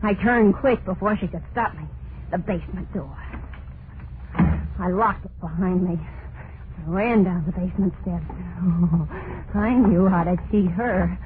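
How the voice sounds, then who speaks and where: An actress playing a terrified, unhinged wife in an old-time radio horror monologue, halting and overwrought, full of guilt and dread. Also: female voice, on the low side, lightly acted. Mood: frantic defiance. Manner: halting, overwrought, drawn-out dramatic delivery. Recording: noisy narrow-band vintage radio recording.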